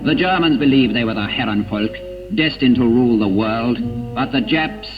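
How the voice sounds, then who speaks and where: A man narrating a scripted 1940s propaganda film in a grave, emphatic manner with dramatic pauses, on an old film soundtrack. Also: medium pitch, highs cut off. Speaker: documentary narrator. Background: music.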